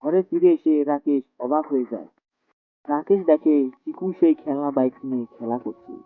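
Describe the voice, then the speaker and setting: A man's voice: fairly high pitch, moderate pace, no echo. A man narrating a scripted fairy tale as the storyteller. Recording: tinny phone-like audio. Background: soft music.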